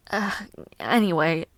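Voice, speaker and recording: A woman voicing a gentle young noblewoman who looks after a bedridden stranger, speaking formally and politely, with shy laughs. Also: mid-range voice, dry recording, full character voice. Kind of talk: audio roleplay addressed to the listener.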